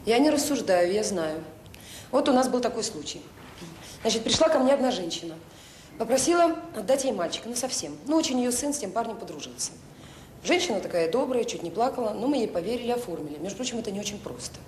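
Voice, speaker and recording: An actress playing a devoted, earnest director of a children's home, speaking in short emphatic phrases in a naturalistic radio drama. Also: female voice, very animated, quick pace, darkish tone. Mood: earnest, persuasive. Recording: roomy sound with faint noise and rumble.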